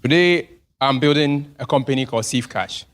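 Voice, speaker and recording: A man from Ghana, a startup founder giving an earnest, personal pitch at a live event. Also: normal speed. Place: event venue.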